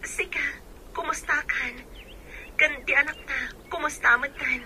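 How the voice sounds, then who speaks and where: Gentle Filipino mother on a call; high, very bright radio drama voice. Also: fairly even intonation, unhurried, slight background noise, full character voice. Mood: tender.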